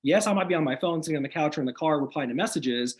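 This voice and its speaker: A man talks at a rapid clip in a somewhat high voice, his tone a little hoarse as he recovers from illness. He speaks energetically and motivationally, leaning on casual "like" fillers, heard through a video call microphone. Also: animated. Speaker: guest sharing business tips.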